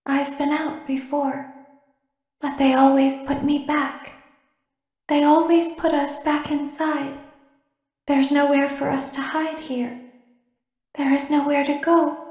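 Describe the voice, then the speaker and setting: A woman voicing a calm, eerie female animatronic in a video game, childlike and pleading, speaking with slow, softly insistent measure. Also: high-pitched. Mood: wistful, hurt. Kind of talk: scripted video game voice acting. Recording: roomy, echoing game audio.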